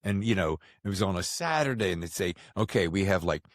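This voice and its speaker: Middle-aged american guy hosting a podcast, deep-ish voice that swings around a lot, dry close sound. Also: animated, fairly quick, dry recording. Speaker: podcast host. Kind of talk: casual interview chat.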